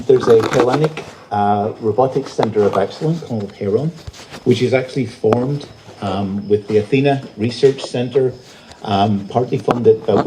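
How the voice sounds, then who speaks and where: A man giving a public lecture as an invited speaker, presenting slides in a halting, pausing delivery. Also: lowish voice. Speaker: invited conference speaker, robotics researcher. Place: live talk at a festival venue. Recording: noisy live event recording.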